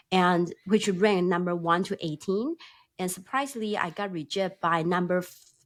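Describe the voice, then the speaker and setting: A middle-aged woman from Taiwan with a Taiwanese accent, telling personal stories conversationally as an interview guest and acting out bits of dialogue. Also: medium pitch. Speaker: podcast guest, company founder.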